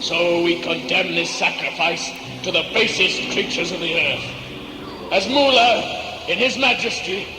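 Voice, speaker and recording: An actor playing an old, cruel high priest and ruler in a sci-fi audio play, pompous, commanding and declamatory. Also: male voice. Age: older. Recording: echoey, loud music underneath.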